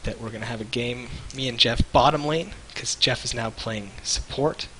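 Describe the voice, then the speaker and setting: A man's voice: medium pitch, moderate pace, pushed and bright, slight background noise, low-quality recording. A man co-hosting a live stream in casual, jokey chat, rambling and searching for words. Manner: rambling, loose, jokey, hesitant.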